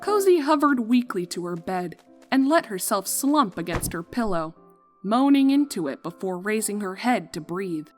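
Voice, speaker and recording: A woman's voice: medium pitch, sing-song, moderate pace, music in the background. A woman reading a fan fiction story as a scripted narration.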